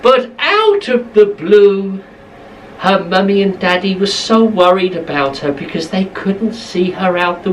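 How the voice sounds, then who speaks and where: A woman's voice: on the low side, recorded from a distance, slight background noise, rough recording. A woman telling a bedtime story to young children, expressively, repeating phrases for emphasis, slightly away from the mic.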